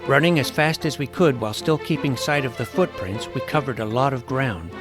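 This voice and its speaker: A man's voice: mid-range voice, brisk, natural voice. A man playing an eager boy adventurer who tells his fantasy story in a florid, wry way, with acted dialogue. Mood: wry, wistful. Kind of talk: scripted first-person story narration with acted dialogue. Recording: music under the voice. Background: music.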